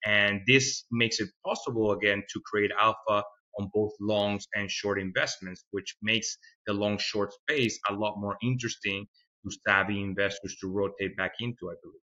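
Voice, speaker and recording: A man from Venezuela in his thirties talks through a podcast interview at a low pitch, with a bright, forceful edge to his voice. He speaks thoughtfully, often doubling a word as he gathers his next thought. The recording has a muffled, lo-fi quality. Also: steady tone, moderate pace. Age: 30s. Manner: thoughtful, repeats words while finding them. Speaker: fund manager guest.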